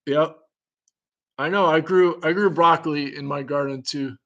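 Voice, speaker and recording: A man's voice: a little high, fairly even intonation, normal speed, fairly bright tone, highs cut off. Man rambling in a chatty, curious way. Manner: rambling, thinking aloud, curious; chuckles often between remarks. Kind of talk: casual chat.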